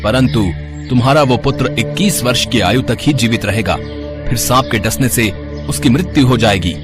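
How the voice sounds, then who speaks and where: A man's voice: mid-range voice, normal speed, over music, natural voice. A man playing an angry god who solemnly pronounces a curse in a devotional story. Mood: stern, wrathful. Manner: solemn, stern, formal pronouncements.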